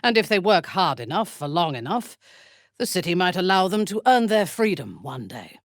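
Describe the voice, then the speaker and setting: A woman playing a ruthless, haughty dark elf warrior noble in a video game, speaking with deliberate menace. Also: fairly low pitch, big pitch swings, no room sound, studio quality. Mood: measured, proud. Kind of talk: video game character acting.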